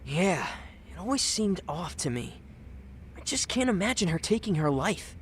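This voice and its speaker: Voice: medium pitch, sing-song, moderate pace, a little hiss or hum, close to an everyday voice. An actor playing a cheeky but earnest teenage boy in a video game dub, talking in offhand, youthful slang. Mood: earnest, worried.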